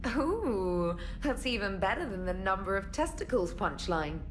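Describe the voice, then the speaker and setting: A woman's voice: medium pitch, expressive, measured pace, noisy background, lightly acted. A woman playing a witty, playful young military comms specialist in a video game, with a wry, articulate, teasing manner. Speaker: comms officer. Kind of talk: video game dialogue acting.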